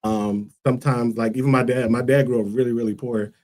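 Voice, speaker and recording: A man's voice: medium pitch, fairly even intonation, brisk, a little mellow. Talk show guest: a man from Liberia who speaks earnestly and thoughtfully, using plenty of "like" and "um". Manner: earnest, thoughtful, many fillers like "like" and "um". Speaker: guest, school co-founder. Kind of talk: casual interview answers.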